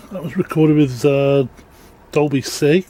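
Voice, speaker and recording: A man giving a casual, unscripted equipment demonstration in a matter-of-fact, halting way. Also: on the high side, warm tone. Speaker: presenter demonstrating audio equipment. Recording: clearly noisy amateur room recording.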